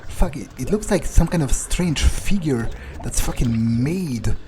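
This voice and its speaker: A man giving in-character game commentary, muttering his thoughts aloud in a halting, sweary, wryly understated way. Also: medium pitch, animated, background noise. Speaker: video game player narrating in character. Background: game music.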